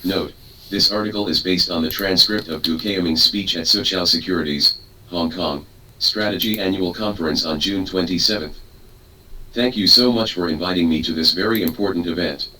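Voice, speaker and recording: Male narrator, deep and formal, reading a speech transcript; distant mic, keyboard clatter in the room. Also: normal speed, sharp tone. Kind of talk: read-aloud speech transcript. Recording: distant mic with clear background noise.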